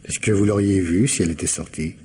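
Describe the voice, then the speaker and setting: An actor playing a probing, wry police commissioner in a French radio mystery, questioning insistently with feigned hesitations. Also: male voice, sits low, fast, dark tone, slightly rough. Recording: noisy old radio drama recording.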